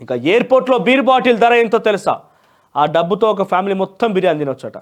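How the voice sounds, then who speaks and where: A man's voice: high-pitched, lively intonation, fast. A man with a Telangana Telugu accent presenting a newspaper review with opinionated commentary in a conversational, emphatic way. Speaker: news presenter.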